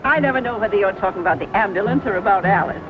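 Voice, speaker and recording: A woman's voice: medium pitch, very fast, close to an everyday voice. A woman playing a modest, gracious small-town American lady in a 1940s film. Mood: modest, pleasant. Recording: dull 1940 film soundtrack with music.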